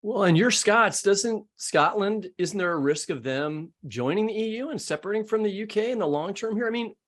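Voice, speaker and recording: Male co-host, slightly high voice, casual interview talk. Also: animated, normal speed, fairly bright tone. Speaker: podcast host.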